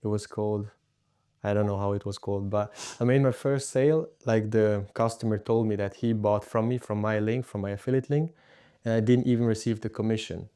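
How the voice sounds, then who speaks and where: Smooth, even-toned young man, low voice. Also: fairly quick. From Hungary, moved to Austria aged seven. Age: teens to young adult. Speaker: podcast guest. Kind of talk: casual storytelling in an interview.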